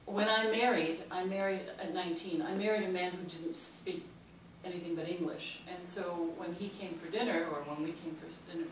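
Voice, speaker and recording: Low-voiced woman with a Chicago accent. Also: fairly even intonation, fairly quick, hardly any pauses. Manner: warm and anecdotal, many ums and uhs. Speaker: panelist. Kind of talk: personal storytelling on a panel. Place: large hall. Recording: echoey hall, mic far off, noisy.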